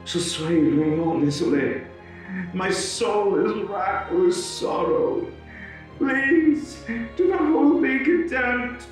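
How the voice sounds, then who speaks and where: A tormented gothic phantom pours out his devotion in a high voice, slow and grandly theatrical. He grovels and pleads like a man undone by love, sprinkling in French. The sound is distant and heavily echoing, with music underneath. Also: animated. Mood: sorrowful pleading. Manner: theatrical, pleading, florid declamation with French interjections. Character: anguished male masked phantom, lovesick, mad, grovelling. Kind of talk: gothic audio drama acting. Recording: very echoey hall, distant, music behind.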